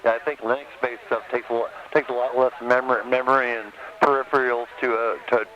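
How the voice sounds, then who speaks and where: An American man in a casual CB radio chat, speaking haltingly with repeated words as he asks questions. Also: medium pitch, level tone, highs cut off. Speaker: CB radio caller. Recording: noisy, crackly CB radio transmission. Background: clear radio noise and clicks.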